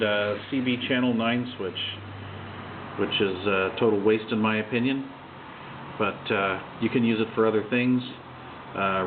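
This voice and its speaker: A man giving a casual, unscripted walkthrough of his hobby gear, halting often and tossing in offhand asides. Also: fairly low pitch. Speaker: CB radio hobbyist presenting his own base station. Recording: muffled phone-like sound, loud background noise.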